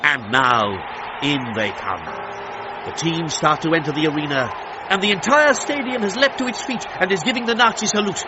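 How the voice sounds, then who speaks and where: A man's voice: fairly high pitch, animated, moderate pace, a crowd in the background, background noise, lightly acted. An actor playing a stately, dramatic male commentator in the style of a 1930s radio broadcast, full of reverence. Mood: stately, awed. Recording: dull band-limited sound with music behind.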